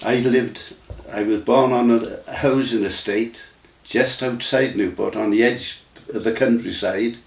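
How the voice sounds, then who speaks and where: A man, recalling his memories in a casual, halting way with many restarts. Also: mid-range voice, fairly even intonation, gravelly. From Wales. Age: 90s. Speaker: interview guest. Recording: roomy sound from a distant mic.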